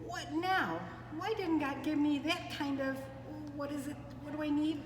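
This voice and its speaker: A woman's voice: sits high, steady tone, measured pace, pitched above the performer's own voice. A woman voicing a big, sulky male storybook rhino who mutters and whines in self-pity. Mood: sulky, indignant. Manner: muttering, whining, groping for words. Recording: echoey reverberant room, clear background noise.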